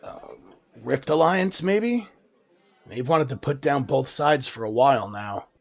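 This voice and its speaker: A man playing a loyal, gruff smuggler pilot sidekick in an audio drama, casual and blunt. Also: mid-range voice, lively intonation, unhurried. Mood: wry, blunt.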